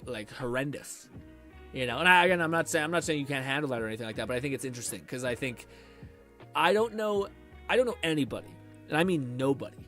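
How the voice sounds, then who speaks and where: Guy streamer talking fast n rambly, lots of ums and likes, quiet music behind. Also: medium pitch, lively intonation. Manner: rambling with many fillers, reads chat aloud. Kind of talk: casual live-stream chat.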